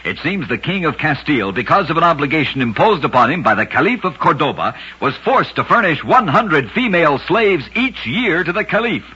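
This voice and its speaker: A man narrating short, strange tales as a radio host, reading a script with dramatic, deliberate delivery on an old recording. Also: a little high, big pitch swings, bright, forceful tone, slightly rough. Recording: dull vintage radio recording.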